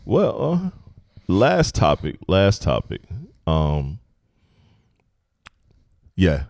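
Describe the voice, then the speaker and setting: A man in his thirties with a deep voice, hosting a podcast in relaxed, agreeable casual chat. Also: extremely slow, creaky, dry recording, studio quality. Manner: relaxed, agreeable, frequent "mm-hmm" and "right". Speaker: podcast host.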